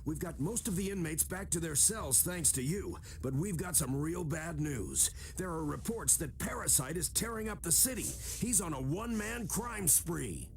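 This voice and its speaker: A man's voice: a little high, lively intonation, moderate pace, a little hiss or hum. An actor playing a concerned male prison officer in a video game, giving an urgent report. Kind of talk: video game cutscene acting.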